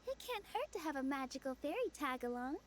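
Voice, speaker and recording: A kind young fairy in a game with a very high, light voice. She talks in a soft, reassuring, motherly way. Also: big pitch swings, a little fast, a little hiss or hum. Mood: tender. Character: gentle young female fairy, caring and kind.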